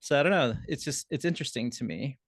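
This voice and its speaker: Musing man, mid-pitched, dry sound. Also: expressive, quick pace, gravelly, no room sound. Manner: musing, questioning. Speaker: theatre panelist. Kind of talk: casual panel discussion.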